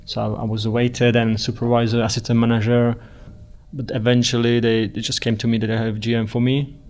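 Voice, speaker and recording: A man answers interview questions in a mid-pitched voice. His speech is notably even and monotone. He talks in a measured, earnest way. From Czech Republic. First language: Czech. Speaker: restaurant general manager.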